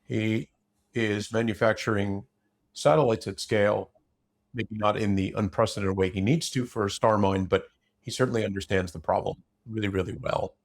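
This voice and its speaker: A man's voice: fairly low pitch, fairly even intonation, normal speed. A man in a casual unscripted discussion, opinionated and blunt, rambling through broken-off sentences.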